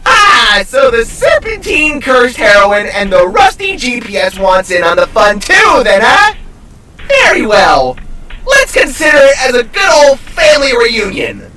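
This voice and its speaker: A man voicing a massive, manic glitch villain in an audio story, gleefully erratic and theatrical, taunting with drawn-out exclamations. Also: very high-pitched, huge pitch swings, pushed and bright, raised voice, recorded from a distance, pitched above the performer's own voice, full character voice. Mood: mocking delight.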